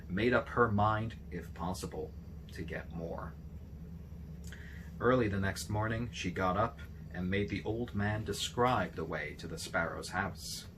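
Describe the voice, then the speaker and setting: A man reading a fairy tale aloud as an expressive narrator. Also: low voice, noisy background. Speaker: storybook reader.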